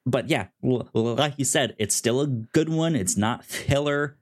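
A man co-hosting a podcast, chatting casually about television in a halting way, often repeating words and restarting. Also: mid-range voice. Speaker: podcast co-host. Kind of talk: casual TV review chat.

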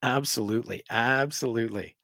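A man hosting a casual podcast chat, upbeat and quick to laugh, reading out listener messages. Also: mid-range voice, sharp tone, no echo. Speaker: podcast host.

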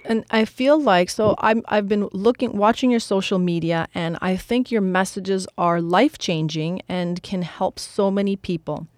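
Lady radio host, middle pitch, dry studio, reads her intro smoothly. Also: moderate pace, hardly any pauses, no room sound. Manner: scripted, even-paced intro and questions. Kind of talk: radio interview.

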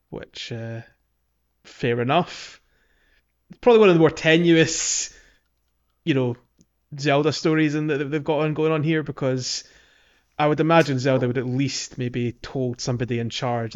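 A man's voice: medium pitch, fairly even intonation, unhurried, muffled recording. A man hosting a casual gaming podcast, talking in a meandering way with lots of fillers and restarts. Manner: meandering, many fillers and restarts, laughs often. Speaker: podcast host.

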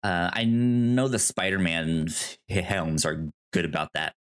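A man's voice: low voice, smooth. A man hosting a podcast in a casual discussion, speaking hesitantly and often stammering over his words. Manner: hesitant, stammering, halting delivery. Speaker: podcast host.

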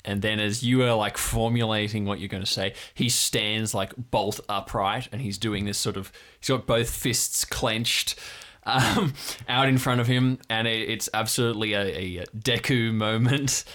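A man's voice: on the low side, fairly even intonation, a little slow, few pauses, a bit bright. A man casually narrating an improvised role-play story as game master.

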